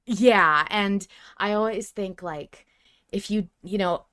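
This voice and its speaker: A woman's voice: medium pitch, takes it slowly, fairly bright tone, dry recording, studio quality. A woman hosting a podcast in casual, enthusiastic, effusive conversation. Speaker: podcast host.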